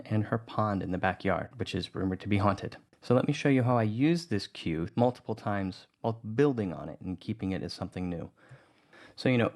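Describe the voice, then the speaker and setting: A man's voice: fairly low pitch, a little mellow. A man giving an informal, conversational tutorial voice-over, explaining things as a producer. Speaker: audio drama producer, tutorial presenter.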